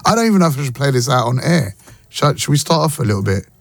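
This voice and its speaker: A man hosting a casual morning radio show, rambling and jokey, reading out listener shout-outs and now and then breaking into a bit of song. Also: mid-range voice, big pitch swings, fast, gravelly, very noisy. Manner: chatty, rambling, jokey, drops into brief singing. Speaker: radio presenter. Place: radio studio. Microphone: studio microphone. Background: music bed.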